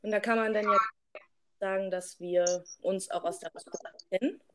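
A woman's voice: mid-range voice, steady tone, moderate pace. A woman in her early twenties co-hosting a podcast in a casual, unscripted chat, prompting her partner along. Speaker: podcast co-host.

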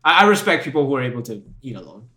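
A man's voice: medium pitch, big pitch swings, rapid-fire, pushed and bright. A young man hosting a casual podcast chat, laughing often and repeating himself as he talks. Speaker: podcast host.

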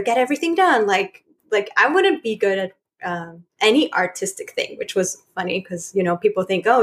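A woman from Chile, a podcast guest chatting casually, laughing often and leaning on filler words. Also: medium pitch. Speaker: guest, spiritual coach.

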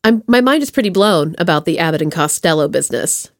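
A woman hosting a podcast, chatting and asking questions in an informal, slangy, expressive way. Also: on the low side, fast talker, no echo, clean studio sound. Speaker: podcast host. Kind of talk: casual chat, interviewing.

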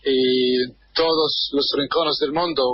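A man from Kuwait, a guest on a radio interview, speaking hesitantly with many pauses while he searches for words. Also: mid-range voice, steady tone, husky, dull audio. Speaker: guest, football fan. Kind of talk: radio interview over a line.

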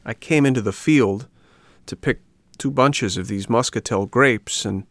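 A man's voice: mid-range voice, unhurried, fairly bright tone, no room sound. A man voicing a tearful, remorseful boy puppet in a storybook, with a plaintive, pleading delivery. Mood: tearful, contrite.

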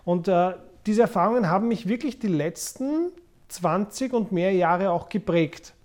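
A man's voice: high voice, expressive, a little slow, a little mellow. Viennese man in his forties, explaining. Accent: Viennese Austrian. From Vienna, Austria. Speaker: podcast host, former investment banker. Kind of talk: unscripted podcast talk about money.

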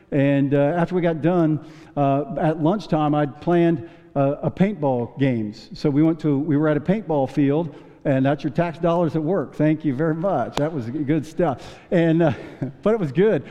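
A man preaching a sermon in a big church hall, his voice very dark and mellow and starting to go hoarse. He tells stories in a folksy way, restarting his phrases and chuckling as he goes. The sound is dull and carries a long reverb off the room. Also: fairly high pitch. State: losing his voice. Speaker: pastor.

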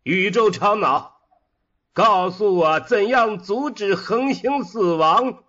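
A man playing a slow, high-voiced, melancholy immortal mind. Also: bright, forceful tone, muffled recording, exaggerated voice. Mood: sad, troubled. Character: immortal disembodied human mind, curious and melancholy. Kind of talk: audio drama dialogue.

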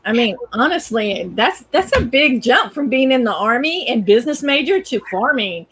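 A woman's voice: on the high side, very animated, normal speed, fairly bright tone, breathy edge. A woman hosting a podcast, asking short friendly questions in a casual interview. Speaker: podcast host.

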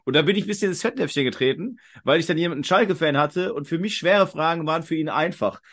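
A man's voice: on the high side, fast talker, fairly bright tone. A man hosting a quiz podcast in a casual, talkative and rambling way. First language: German.

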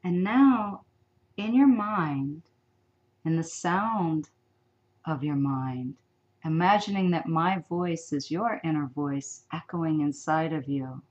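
A woman guides a sleep meditation in a lowish, gentle voice. She speaks very slowly and softly, with long pauses between soothing instructions. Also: dull audio.

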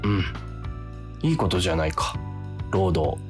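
An actor playing a weary, easily tempted office worker who narrates a comic radio drama with grumbling, self-deprecating drama. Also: male voice, low voice, lively intonation, moderate pace, faint music behind. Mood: resigned grumbling.

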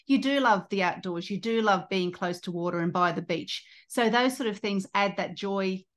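A woman hosting a podcast in a conversational interview style, with halting, self-interrupting phrasing. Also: mid-range voice. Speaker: podcast host.

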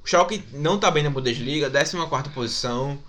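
A man's voice: fairly high pitch, expressive, quick pace, a bit bright, slight background noise. A man with a Brazilian accent giving casual football commentary, often repeating and correcting himself. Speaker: football podcast panelist.

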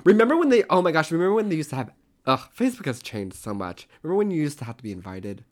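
A man in his thirties from Oklahoma, co-hosting a casual chat podcast, sassy and animated. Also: on the high side. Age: 30s. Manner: animated, sassy, quick asides. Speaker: podcast co-host.

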